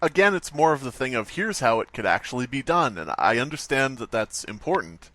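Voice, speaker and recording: A man's voice: medium pitch, big pitch swings, a little fast, bright, forceful tone. A man co-hosting a podcast in casual, joking conversation. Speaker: podcast co-host. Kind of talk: casual comedic chat.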